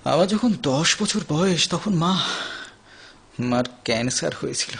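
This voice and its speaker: An actor playing a lonely, anguished man of thirty in an audio play, halting and emotional, repeating his phrases. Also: fairly high pitch, lively intonation, vocal fry, a bit bright, breathy edge, full character voice. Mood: sorrowful, yearning. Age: 30s. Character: lonely, anguished thirty-year-old son of neglectful parents. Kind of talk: Bengali audio play acting.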